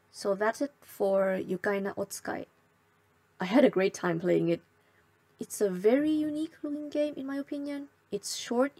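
A woman's voice: medium pitch, vocal fry, a little mellow, no echo. Awkward, apologetic woman hosting a game. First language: not English. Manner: self-conscious, apologetic, a little awkward. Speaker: let's play host. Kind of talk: casual gameplay commentary and translation.

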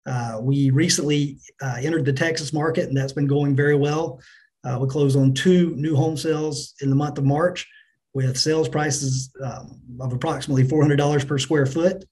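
A man's voice: a little high, steady tone, moderate pace, darkish tone. Businesslike middle-aged man giving corporate update. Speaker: company finance chief, interview guest. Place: indoors on a remote video call.

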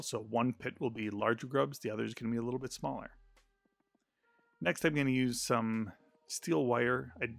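A man's voice: medium pitch, gravelly, a bit bright, no room sound. A man narrating a step-by-step crafting tutorial in a plain, explanatory voice-over with frequent filler sounds.

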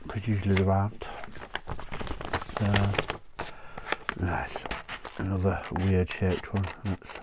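A man's voice: low voice, little pitch movement, takes it slowly, pauses often, very noisy. A man with a chesty cough, chatting in a rambling way and laughing often while cutting paper at his craft desk. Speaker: craft channel host. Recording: paper crinkling close by.

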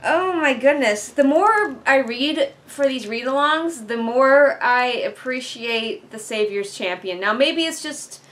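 A woman's voice: sits high, animated, slow pace, hardly any pauses, a bit bright. A young American woman giving casual book commentary in a rambling, bluntly opinionated way, talking as a vlogger in a living room. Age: young adult. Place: living room couch, indoors. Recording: background noise in a living room.

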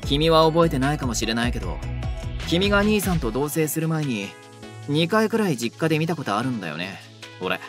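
A man's voice: fairly high pitch, big pitch swings, a little fast, faint music behind. A man voicing a young delinquent who is defensive and easily flustered, in an audio drama. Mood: serious. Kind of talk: Japanese boys' audio drama.

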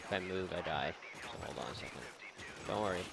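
A man's voice: low-pitched, animated, quick pace, gravelly, very noisy. A man giving casual, rambling and sarcastic let's play commentary, swearing freely as he goes. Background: video game ambience.

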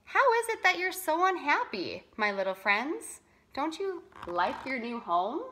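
A woman voicing a kindly, gentle male creator spirit in a children's storybook, earnest and reassuring. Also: sits high, sing-song, a little slow, voice pushed up, full character voice. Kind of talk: children's storybook read-aloud.

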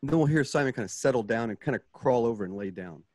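A man's voice: medium pitch, lively intonation, fast talker, a little mellow, dry recording. Casual man narrating, dry sound. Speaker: narrator reading stage directions. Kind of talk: casual narration of radio play.

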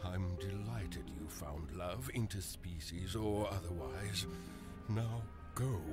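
A man's voice: sits low, slow. A man playing a jealous, bitter writer in a comic audio drama, scornful and theatrical. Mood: bitter, cold. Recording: loud music underneath.

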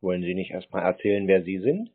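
A man's voice: deep voice, lively intonation, quick pace. A man talking on the phone in a terse, dry, challenging manner. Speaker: prank host taking scam calls. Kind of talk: phone conversation. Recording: tinny narrow phone-like sound.

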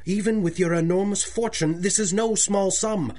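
An older male bank president in an old-time radio play, speaks in a slightly high voice. He is formal and fussy, deferential to his client yet plainly anxious. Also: normal speed, darkish tone. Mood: grave, puzzled. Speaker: actor in an audio drama. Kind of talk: 1930s-style radio drama acting.

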